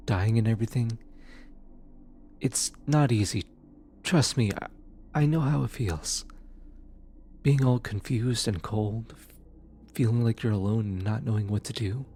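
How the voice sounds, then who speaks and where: An actor voices a gentle, awkward demon who retrieves souls, in an intimate ASMR audio drama. The voice is very breathy and soft, at a middling pitch, often sinking near a whisper. The delivery is hesitant and reluctant, yet comforting. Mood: gentle, reassuring. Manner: hesitant, halting, sighing, muttering to self.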